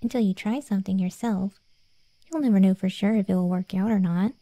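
A woman voicing a smug, conceited undead cat girl in a soft, teasing ASMR roleplay. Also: medium pitch, brisk, dry recording, close to an everyday voice. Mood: gently encouraging. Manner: soft, teasing, self-important. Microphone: close, dry studio-style mic.

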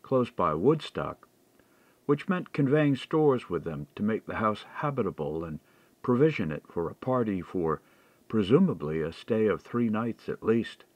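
Expressive male storyteller, lively intonation. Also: lowish voice, a little slow, no echo, dull audio. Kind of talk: audiobook narration of a historical mystery.

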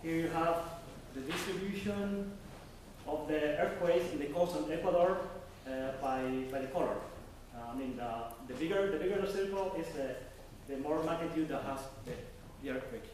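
A young man giving an academic conference presentation, halting and searching for words, often restarting his sentences. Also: a little high. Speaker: conference speaker. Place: conference hall. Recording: echoey hall from far off with faint noise.

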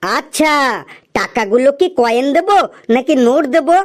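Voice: sits high, expressive, normal speed. An actor playing a wheedling, cheeky freeloading customer in a comedy cartoon, teasing in a mock-earnest way. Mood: teasing, stalling.

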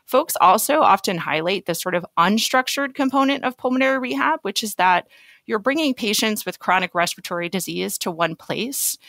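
A woman's voice: on the low side, gravelly, a bit bright, no echo, studio quality. A woman speaking as a physician guest on a podcast, explaining things in an articulate way.

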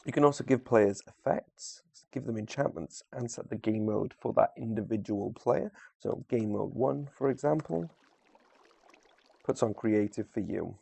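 A man's voice: lowish voice, a little slow, creaky, dark tone, husky, no room sound. A man giving a casual, unscripted video game tutorial, informal and a little hesitant as he thinks aloud. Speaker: gamer presenting a video walkthrough.

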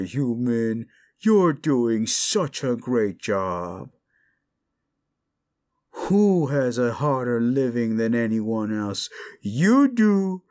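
A man's voice: mid-range voice, darkish tone. A man voicing a cruel, condescending demon in an intimate audio roleplay, taunting the listener with drawling sarcasm. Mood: mock cooing. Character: condescending male demon, gleefully cruel and mocking.

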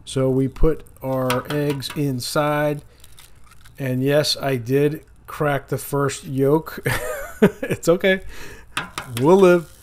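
Man, fairly high voice, casual rambling cooking narration, outdoors, faint sizzle behind. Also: drawn-out words, long pauses, slight background noise. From Florida. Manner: relaxed, rambling, self-correcting, chuckles at mistakes. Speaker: cooking channel host. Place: outdoors by a lake at a griddle. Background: sizzling food on a griddle.

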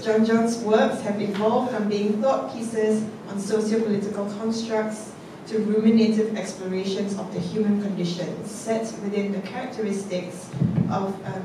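A woman emcee reading out panel speaker bios on a theatre stage, measured and steady from her notes with the odd um. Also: mid-range voice. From Singapore. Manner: measured, reads from notes with occasional ums. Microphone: handheld mic, heard from a distance. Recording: echoey hall, voice from far off, noisy.

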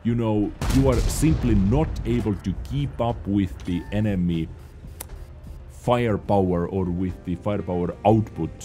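A young man with a low, mellow voice talks over gameplay in chatty, animated English that is not his first language. He keeps addressing his viewers directly as ladies and gentlemen. Soft music plays faintly underneath. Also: unhurried. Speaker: gaming video host. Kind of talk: gameplay commentary.

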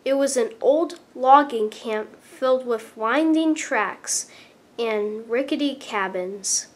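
A woman reading a children's story aloud, with deliberate, expressive emphasis. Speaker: storybook reader. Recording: clear background noise with low rumble.

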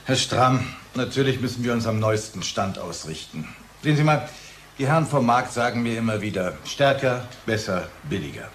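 An actor playing a pushy, jovial company boss in a radio play, with a patronizing, businesslike manner. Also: male voice, medium pitch, lively intonation, normal speed, a little hiss or hum, natural voice.

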